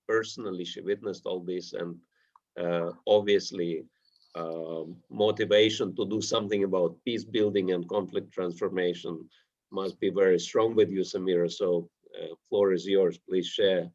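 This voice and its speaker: A man moderates an online panel, introducing the next speaker at a slow pace. His voice is fairly low and his phrasing is formal and slightly halting. The audio is dull and lo-fi. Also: fairly even intonation, darkish tone.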